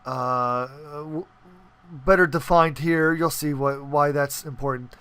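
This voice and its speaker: A man's voice: fairly high pitch, steady tone, slow, a bit bright, smooth, dry recording. A man hosting a casual screen-recorded coding tutorial, talking informally and often checking in with the listener. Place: indoors, voice-over on screen capture.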